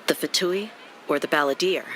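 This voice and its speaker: A woman's voice: deep voice, normal speed, heavy background noise, lightly acted. An actress playing a brash, outspoken young female mercenary in a video game, talking casually and bluntly. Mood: casual, reporting. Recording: game music behind.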